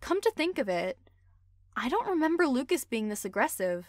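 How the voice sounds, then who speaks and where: A woman's voice: high-pitched, big pitch swings, moderate pace, no echo, clean studio sound. A woman playing a protective, suspicious young pack member in a roleplay drama, firm and earnest.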